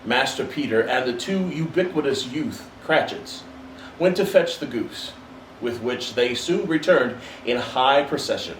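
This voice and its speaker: A man reading a classic story aloud as narrator, in an expressive storybook style. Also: mid-range voice, unhurried. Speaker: story reader. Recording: roomy, distant mic, faint hiss.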